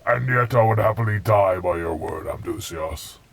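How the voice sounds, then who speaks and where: A man's voice: fairly low pitch, normal speed, gravelly. An actor playing a devout alien warrior who addresses his chieftain formally in a sci-fi audio drama. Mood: grave, loyal. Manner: formal, deferential.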